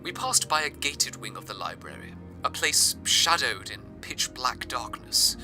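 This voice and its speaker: A man's voice: medium pitch, sing-song, a little slow, over music. A man playing an awestruck, devoted radio broadcaster, narrating in an earnest, reverent, descriptive way. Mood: wistful, descriptive. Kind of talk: scripted first-person radio narration.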